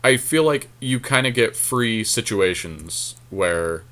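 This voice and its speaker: A man with a fairly low voice talks through a card game as a podcast host. He thinks aloud in casual, slangy phrases and jokes with his co-host. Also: expressive, slow pace, a bit bright.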